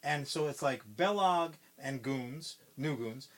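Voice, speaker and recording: A man with a voice pitched a touch higher than most, chatting loosely as a podcast co-host. He rambles through a story, swears freely and leans on fillers and restarts. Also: slow, a bit bright. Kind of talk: casual pitch-style movie retelling.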